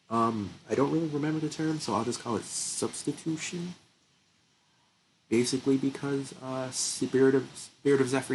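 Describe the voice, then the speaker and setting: A man's voice: mid-range voice, slight background noise, poor audio. A young man with a stuffy nose, getting sick, chatting casually through his gameplay commentary, laughing often and thinking aloud. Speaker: gaming channel host.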